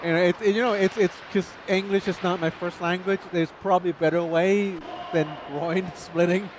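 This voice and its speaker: A man's voice: sits high, steady tone, moderate pace, background noise. A man commentating live ice hockey, a native Chinese speaker, chatty and self-deprecating, laughing between play-by-play calls.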